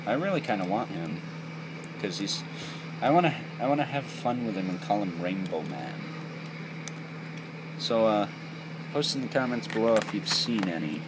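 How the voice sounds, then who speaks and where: A man's voice: fairly low pitch, expressive, normal speed, pauses often, background noise. A young man giving a casual, off-the-cuff build tutorial in a rambling, jokey, self-deprecating way. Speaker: toy build video host.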